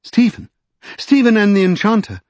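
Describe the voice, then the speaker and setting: A man's voice: medium pitch, brisk, no room sound, lo-fi sound, pitched above the performer's own voice, close to an everyday voice. A man voicing a strong-willed, urgent aristocratic lady in an audiobook, with formal, emphatic old-fashioned speech. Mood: urgent, commanding.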